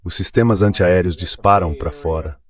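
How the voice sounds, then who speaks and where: A man's voice: low voice, normal speed. A man giving conversational interview commentary in a matter-of-fact way, read as a translated voice-over.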